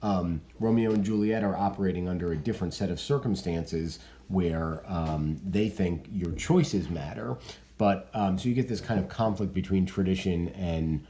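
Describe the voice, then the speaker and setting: Low, mellow-voiced teacher explaining. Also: male voice, normal speed. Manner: relaxed and explanatory, with many ums and restarts. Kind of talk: spoken literature lesson with commentary.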